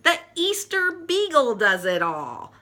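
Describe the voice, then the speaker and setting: A woman's voice: high-pitched, huge pitch swings, takes it slowly, pushed and bright, voice pushed up, heavily acted. A woman voicing an earnest, stubborn little boy in a children's story, matter-of-fact and insistent. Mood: dismissive.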